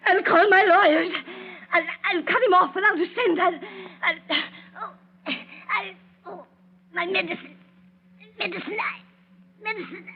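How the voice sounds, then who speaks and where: Haughty, high-voiced society matron. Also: female voice, measured pace, long pauses. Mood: outraged, failing. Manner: haughty, indignant, melodramatic. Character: imperious, possessive rich mother, outraged matron. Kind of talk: 1940s radio acting. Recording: dull vintage 1940s radio recording.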